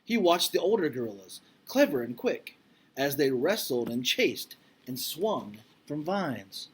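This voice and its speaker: A man reading a picture book aloud to children like a teacher, expressive and deliberate, with informal explanatory asides. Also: on the high side, slightly rough.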